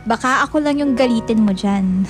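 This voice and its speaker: A woman's voice: on the high side, moderate pace, lightly acted. A woman playing a bubbly, caring young barista in a Filipino radio drama, playfully scolding and giggly. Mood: playfully exasperated. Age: young adult. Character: bubbly, caring young working-student barista, feigning exasperation. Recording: loud music under the voice. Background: loud background music.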